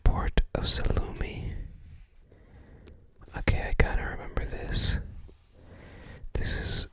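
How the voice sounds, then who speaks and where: An ASMR creator whispering in short, hesitant, rambling phrases, close to the microphone. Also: slow. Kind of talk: whispered ASMR book browsing.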